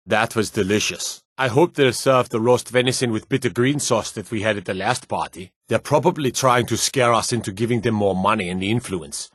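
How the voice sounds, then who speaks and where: Dignified low-voiced fantasy nobleman. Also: a bit bright, breathy edge, no room sound, clean studio sound. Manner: formal, measured, stately. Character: dignified loyalist nobleman, courtly and gossipy fantasy lord. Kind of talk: scripted fantasy video game dialogue.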